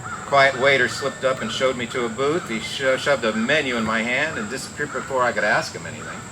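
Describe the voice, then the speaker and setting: A man playing a world-weary, wisecracking private detective in radio noir style, reading a little haltingly. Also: medium pitch, background noise, lightly acted. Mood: brooding narration. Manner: halting read with stumbles and wry asides. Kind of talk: 1940s-style radio noir acting and narration.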